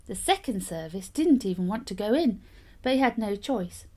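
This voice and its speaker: Lively woman storyteller. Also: mid-range voice, normal speed. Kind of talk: expressive fairytale reading.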